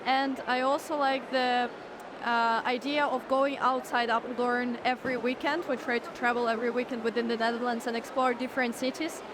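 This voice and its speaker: A woman with a slightly high voice, speaking in a calm, considered way. Clear noise sits under the recording. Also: fairly quick. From Moscow, Russia. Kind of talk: casual interview answers.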